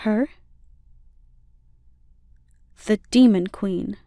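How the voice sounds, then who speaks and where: A woman voicing a gentle, caring slime monster girl with playful teasing, in a soft, intimate ASMR roleplay delivery. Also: medium pitch, measured pace, dry recording, clean studio sound, natural voice. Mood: gentle explaining.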